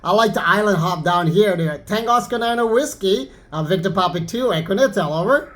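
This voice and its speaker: A man with a high-pitched voice talks as an amateur radio operator. He keeps it casual and easygoing, like a friendly rag chew between operators. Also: lively intonation, fairly quick, a bit bright. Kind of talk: casual radio contact chat.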